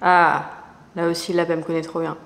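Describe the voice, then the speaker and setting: A young woman chatting casually to camera in a lifestyle vlog, playful and slangy, with quick asides. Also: sits low, little pitch movement, creaky. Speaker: lifestyle vlogger.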